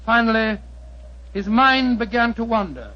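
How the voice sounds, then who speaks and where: Earnest British doctor-narrator, fairly high voice, dramatic storytelling, muffled old radio recording. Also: male voice, expressive, a little slow. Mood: grave. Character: earnest English doctor recounting his detective friend's adventure. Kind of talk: dramatic scripted narration, 1940s radio acting. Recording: dull 1940s radio recording.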